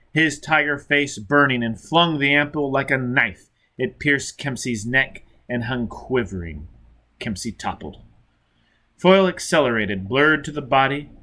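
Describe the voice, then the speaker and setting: A man's voice: medium pitch, bright, forceful tone. A man reading a novel aloud as dramatic scripted narration. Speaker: audiobook reader.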